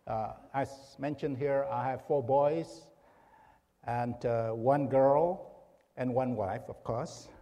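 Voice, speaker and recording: A middle-aged man from Indonesia preaching to a congregation as a guest pastor, in a relaxed way with many pauses and fillers. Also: medium pitch, big-room sound. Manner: informal, halting with many fillers. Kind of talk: sermon. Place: church sanctuary.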